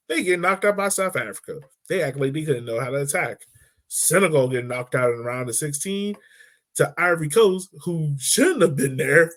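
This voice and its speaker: A man co-hosting a sports podcast in casual chat, rambling, correcting himself mid-sentence and laughing easily. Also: a little high. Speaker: podcast co-host.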